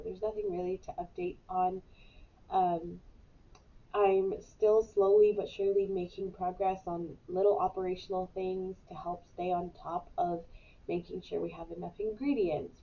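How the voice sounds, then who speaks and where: A woman's voice: on the low side, dull audio, a little hiss or hum. A woman in her thirties with a stuffy cold, chatting casually as host of a weekly live show, rambling with many fillers. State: congested with a cold. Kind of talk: casual live-stream chat.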